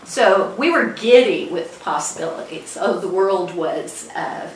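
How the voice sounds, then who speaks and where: An older woman with an American accent gives an informal book talk as a guest author, speaking haltingly with frequent pauses mid-sentence. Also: on the low side, animated. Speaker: guest author and former newspaper editor. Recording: echoey room, distant mic, background noise.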